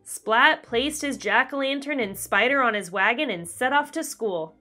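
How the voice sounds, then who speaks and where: Animated, bright-voiced woman reading a storybook. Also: on the high side, faint music behind. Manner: animated, deliberate storyteller delivery. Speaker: children's read-aloud host. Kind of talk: expressive scripted storybook narration for kids.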